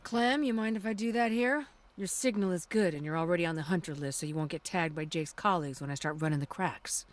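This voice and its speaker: An actress voicing a cocky, sharp-tongued female hacker in a video game, brash and teasing. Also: on the low side, fast talker, lightly acted. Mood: casual.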